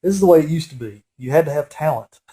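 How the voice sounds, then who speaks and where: A man's voice: a little high, lively intonation, fast talker, warm tone. A man in his forties with a nasal head cold, giving a casual, rambling fan commentary over a movie with geeky enthusiasm.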